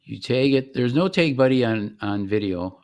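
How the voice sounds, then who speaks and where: A man explaining software step by step in a conversational, informal product demonstration. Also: medium pitch, expressive, normal speed, clean tone.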